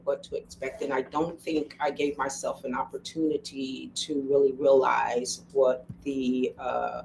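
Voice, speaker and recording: Reflective woman guest, pausing often. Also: very deep voice, a little hiss or hum, low-quality recording. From Philadelphia, USA. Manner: reflective and hesitant, many pauses and ums. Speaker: interview guest. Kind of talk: casual interview answers.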